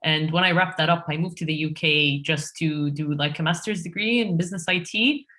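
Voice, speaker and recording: A woman from Egypt answering interview questions on a podcast in a relaxed way, with frequent fillers and restarts. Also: sits low, fairly quick. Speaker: podcast guest, head of SEO.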